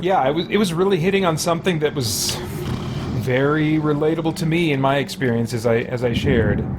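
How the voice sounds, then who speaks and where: A man hosting a gaming livestream, chatting casually and thinking aloud in a rambling way. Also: brisk. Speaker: livestream host. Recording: noisy background rumble.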